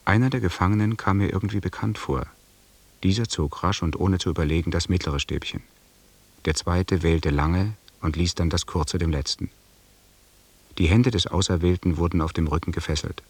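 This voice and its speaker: An actor playing a sombre expedition officer who narrates a radio play in a sober, measured, husky voice. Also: male voice, deep voice, dry recording. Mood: sombre, detached. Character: sombre expedition officer recounting grim events.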